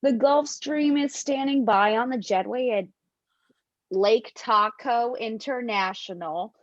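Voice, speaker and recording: A woman reads a businesslike FBI official in a script reading. The delivery is slow and formal, the voice a little high. The home recording is dry and slightly dull. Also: dry recording. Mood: curt, official. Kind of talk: amateur script table read.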